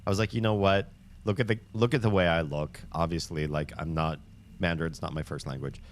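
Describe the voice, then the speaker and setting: A man's voice: low-pitched, a little fast. A man hosting a livestream, chatting with viewers in a rambling, upbeat way, full of fillers and restarts. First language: English. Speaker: livestream host. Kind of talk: casual chat with viewers.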